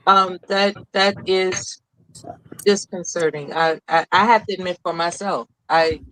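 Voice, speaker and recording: A woman with a commanding voice, speaking as an earnest panelist in a deliberate, halting way. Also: on the low side, steady tone, a bit bright, rough recording. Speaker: call-in panelist. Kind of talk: opinionated panel discussion.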